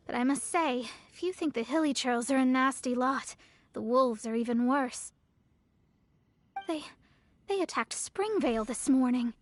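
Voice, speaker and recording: A woman's voice: high-pitched, measured pace, slight background noise. A woman voicing a worried, hesitant female cart merchant in a game. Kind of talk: game voice acting.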